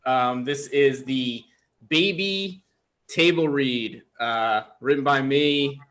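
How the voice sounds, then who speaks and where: A man informally hosting a table read and reading out the screen directions, a little halting. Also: mid-range voice, a bit bright. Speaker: writer and stage-direction reader.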